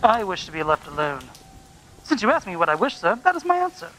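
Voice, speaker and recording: A man's voice: high-pitched, wildly swooping pitch, quick pace, bright, forceful tone, heavily acted, poor audio. A man playing a miserly, bitter old man in a radio play, grumbling, curt and scornful. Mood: cold, irritable. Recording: faint background noise.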